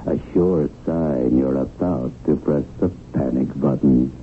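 An actor playing a smug, slick, needling devilish tempter in a radio drama, talking in a cocky, colloquial way. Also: male voice, extremely low pitch, measured pace, narrow, tinny audio, faint music behind. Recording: thin band-limited radio broadcast, faint hiss.

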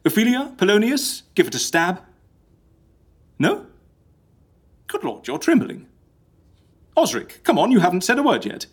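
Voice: medium pitch, wildly swooping pitch, a little slow, long pauses, husky, exaggerated voice. An actor playing an exasperated, nosy modern interviewer in a comic audio drama, blunt and colloquial. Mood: wry, prodding.

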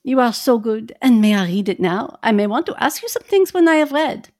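A woman's voice: a little high, very animated, a little fast, a little mellow. A woman voicing an earnest, effusive old Dutch professor who speaks in broken, formal English. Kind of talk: reading a novel aloud in roles.

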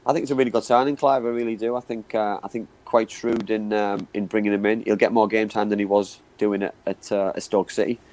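Fast-talking football pundit. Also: male voice, on the low side, flat delivery, a little mellow. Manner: fast, repeats words, many fillers like you know what I mean. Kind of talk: casual football chat show.

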